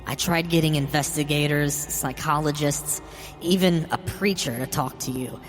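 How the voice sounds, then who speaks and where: A man's voice: fairly high pitch, normal speed, voice pushed up, close to an everyday voice. Man voicing a grieving, bitter mother in reverb. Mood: bitter, resigned. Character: bitter, grieving old mother, wounded and resentful. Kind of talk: dramatic horror story reading. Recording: long hall reverb. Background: music.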